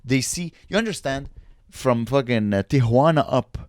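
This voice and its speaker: A man, co-hosting a casual comedy podcast, talking in an animated, profane, lecturing way. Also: mid-range voice, normal speed, no room sound, clean studio sound. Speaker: podcast co-host.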